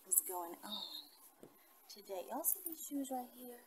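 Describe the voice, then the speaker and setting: A woman chatting casually to her viewers in an animated, slangy, rambling way. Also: medium pitch, measured pace. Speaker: video channel host. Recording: distant mic, loud vehicle-like background noise.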